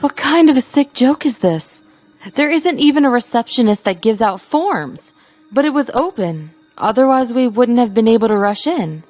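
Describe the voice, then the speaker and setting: Impatient young wife in an audio drama, kinda high voice, snippy and practical, no-nonsense. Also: female voice, lively intonation, a little mellow, soft background music. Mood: alarmed, baffled. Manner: brisk, exasperated, no-nonsense. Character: impatient, practical, sharp-tongued wife on a road trip.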